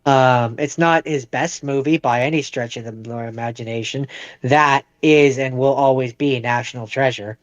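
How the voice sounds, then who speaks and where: Smooth clear guy chatting on a podcast, dry slightly dull mic sound. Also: mid-range voice, fairly even intonation, normal speed, dry recording. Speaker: podcast co-host. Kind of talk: casual chat reviewing movies.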